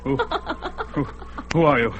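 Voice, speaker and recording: An actor playing Conan, a fierce young barbarian warrior, speaking bold archaic lines in an old radio drama. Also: male voice, on the high side, huge pitch swings, slow, lo-fi sound, full character voice. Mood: dazed, wondering. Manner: bold, loud, archaic speech. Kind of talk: classic radio drama acting.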